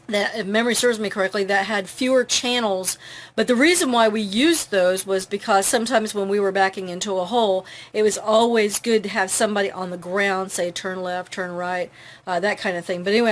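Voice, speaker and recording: Chatty woman giving tips. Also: medium pitch. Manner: chatty, with many fillers and "okay"s. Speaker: host. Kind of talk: informal how-to talk.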